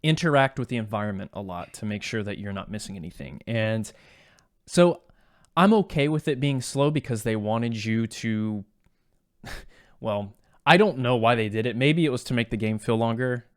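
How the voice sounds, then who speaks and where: A man's voice: mid-range voice, animated, normal speed, smooth, no echo, clean studio sound. A man co-hosting a podcast, giving his opinions on games in casual chat. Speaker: podcast co-host. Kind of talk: casual game review chat.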